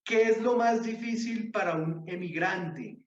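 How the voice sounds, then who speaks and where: High-voiced male podcast host, roomy sound. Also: measured pace, muffled recording. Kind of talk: interviewing, presenting a guest.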